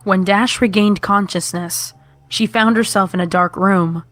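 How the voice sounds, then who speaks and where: A woman's voice: mid-range voice, steady tone, measured pace, fairly bright tone, no echo, faint music behind. A woman reading scripted horror fiction as the narrator.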